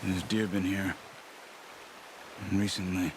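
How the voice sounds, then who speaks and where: A man playing a calm, stoic outlaw hunter in a western video game, speaking tersely and quietly as he instructs. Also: sits low, takes it slowly, background noise. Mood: hushed, focused.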